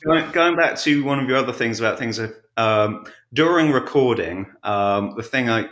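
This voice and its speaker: A man chatting casually as an interview guest, halting and restarting his sentences. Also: medium pitch, a bit bright. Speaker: podcast guest, audiobook narrator. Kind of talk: casual chat. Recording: roomy, echoing sound.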